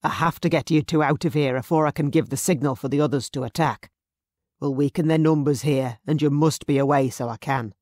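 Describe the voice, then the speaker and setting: Narrator playing a determined Highland chief. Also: male voice, fairly quick, darkish tone, no room sound, clean studio sound, natural voice. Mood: firm. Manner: firm, urgent. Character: determined Highland clan chief, bold rescuer.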